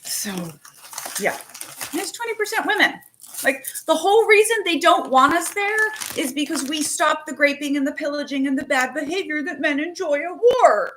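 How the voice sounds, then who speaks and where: A woman talking casually and animatedly as host, with quick asides, laughs and the odd swear word. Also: high-pitched, lively intonation, a bit bright, slight background noise, poor audio. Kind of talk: casual chat to camera.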